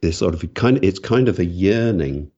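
A man's voice: deep voice, animated, moderate pace, warm tone. A man, a guest author answering interview questions thoughtfully, often restarting his sentences. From Wales. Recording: muffled lo-fi audio.

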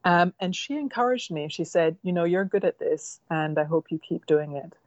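A woman's voice: on the low side, animated, dry recording, lo-fi sound. A middle-aged woman from Jamaica with a mixed Jamaican, Caymanian and English accent, a novelist speaking reflectively and measuredly in an interview. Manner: reflective, measured, with filler ums. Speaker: novelist guest. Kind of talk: interview conversation.

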